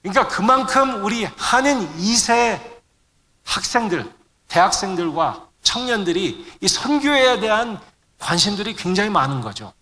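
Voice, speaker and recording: Bright-voiced middle-aged man preaching in a reverberant hall. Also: sits high, sing-song, unhurried. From Korean immigrant family in the United States. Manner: searches for words, mixes in English terms. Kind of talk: sermon to a congregation.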